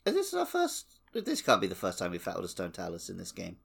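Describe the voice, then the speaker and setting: A man's voice: low-pitched, wildly swooping pitch, fast, gravelly, a bit bright, slightly rough. A man co-hosting a podcast, chatting casually about a video game playthrough. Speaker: podcast co-host.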